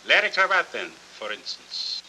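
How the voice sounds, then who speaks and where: An actor playing a suave, wordy gentleman villain in a 1940s film noir, courtly, florid and deliberate, on a hissy old soundtrack. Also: on the high side, big pitch swings, exaggerated voice, rough recording. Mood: smooth, needling.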